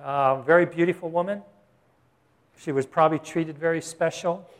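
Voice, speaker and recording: A man's voice: fairly high pitch, fairly even intonation, moderate pace. A man preaching a sermon as a Bible teacher, in a conversational way with frequent questions to his listeners. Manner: conversational preaching, asks the congregation "Got it?". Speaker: pastor.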